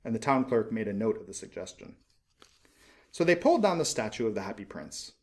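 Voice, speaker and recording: Measured male storybook narrator. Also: on the low side, very animated, a little mellow. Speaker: audiobook narrator. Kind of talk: expressive literary storybook narration.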